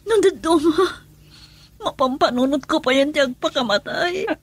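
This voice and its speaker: A woman's voice: high-pitched, animated, moderate pace. A woman playing a distressed, tearful wife and mother working abroad in an Ilocano radio drama. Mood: troubled, despairing. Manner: tearful, pleading.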